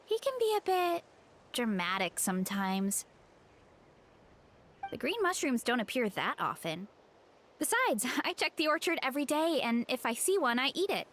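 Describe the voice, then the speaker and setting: A woman playing an earnest, sweet young food-loving heroine in a video game, with a gentle manner. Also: sits high, very animated, normal speed, long pauses, slight background noise. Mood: cheerful. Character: earnest, cheerful young woman who loves food and her tribe.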